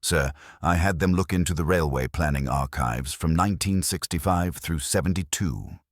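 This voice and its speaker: A man's voice: rumbling low, normal speed, dry recording, studio quality. A man playing a deferential, diligent police sergeant, reporting in clipped, matter-of-fact phrases in a detective story. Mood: earnest, reporting. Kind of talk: audiobook detective mystery character reading.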